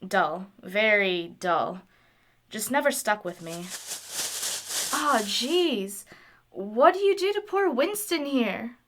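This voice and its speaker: A woman's voice: a little high, sing-song, slow, background noise. A woman playing a nerdy, caring young engineer in an audio drama, talking in a casual, exclamatory, teasing way.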